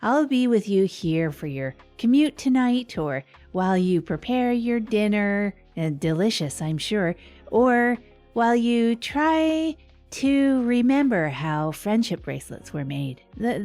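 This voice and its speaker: A woman's voice: medium pitch, animated, a little slow, a little mellow, faint music behind. A woman playing a wry small-town radio host, telling a comic monologue with hesitant, self-deprecating asides. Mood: wry, reflective. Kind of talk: scripted comedic radio monologue.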